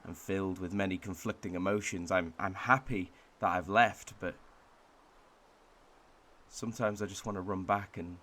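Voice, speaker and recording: A man playing a wistful Welshman, delivering a pensive, measured monologue in a radio drama. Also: deep voice, fairly even intonation, sharp tone. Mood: melancholy, conflicted. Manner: pensive, measured, with thoughtful pauses. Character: wistful Welshman reflecting on his home village and heritage.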